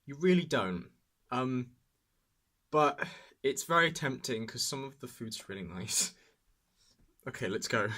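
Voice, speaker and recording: A man's voice: medium pitch, animated, slow, pauses often, bright, forceful tone. A young British man speaks as a vlogger reflecting on his own life. His delivery is casual and rambling, and he tends to put himself down as he goes. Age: early 20s. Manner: self-deprecating, rambling. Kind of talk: casual personal vlog and reflective narration.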